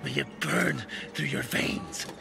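A man's voice: medium pitch, steady tone, vocal fry, music in the background. An actor playing a dying male warrior zealot who speaks fervently and curses his enemy, in a video game. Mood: bitter. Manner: slow, fervent, cursing.

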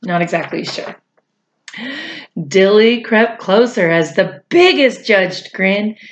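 A middle-aged woman reads a children's storybook aloud at a very slow pace. Her pitch swings in a lively, expressive way, and she breaks off for chatty asides to the kids. The sound is slightly dull, like a webcam in a room at home. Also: a little hiss or hum. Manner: expressive, drawn-out delivery addressed to young children. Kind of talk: animated picture-book read-aloud with chatty asides. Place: small indoor room at home.

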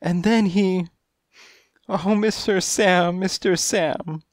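A dainty, flirtatious female cat coos her tale in a high, breathy voice, as a man reads her part. She gushes and flutters, dramatic but unhurried. The sound is dry and close, like a storybook reading. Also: fairly even intonation, dry recording. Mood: gushing. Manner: breathy, gushing, coy.

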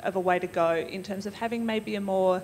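A woman's voice: medium pitch, steady tone, brisk, darkish tone, slight background noise. A woman, speaking as a panellist in a discussion on stage into a handheld microphone. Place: stage in an auditorium with audience.

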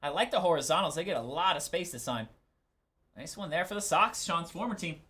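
A man's voice: a little high, lively intonation, a little fast, bright, forceful tone. Man calling out cards casually. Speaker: host of live sports card breaks. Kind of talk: casual live commentary on card openings.

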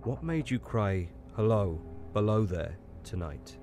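A man voicing a troubled, lonely railway signalman who speaks low and gravely in an audiobook. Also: animated, a little slow, music in the background. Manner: low, hushed, grave speech. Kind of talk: audiobook character reading.

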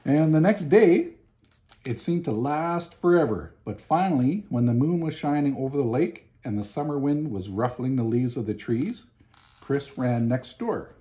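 Man, fifties, warm dark tone, medium pitch, expressive children's storytelling, deliberate phrasing. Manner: expressive, deliberate with emphatic pauses. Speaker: storybook reader. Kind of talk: children's picture book read-aloud. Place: home living room, indoors.

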